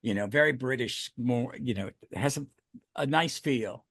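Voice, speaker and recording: A man's voice: medium pitch, moderate pace, a bit bright, no room sound, studio quality. A man hosting a podcast in casual review chat, talking in a rambling, enthusiastic way. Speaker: podcast host.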